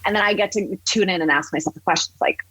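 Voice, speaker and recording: A woman's voice: medium pitch, fast talker, fairly bright tone, a little hiss or hum, poor audio. A woman talking conversationally as an interview guest, candid and emphatic, acting out remarks as she goes. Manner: candid, emphatic, acts out quoted remarks, swears casually. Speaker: podcast guest, empowerment coach.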